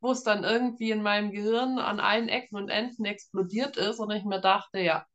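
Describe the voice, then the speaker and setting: Woman, thirties, medium pitch, casual interview guest, animated anecdote teller. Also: fairly quick, highs cut off. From northeastern Germany. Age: 30s. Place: room at home.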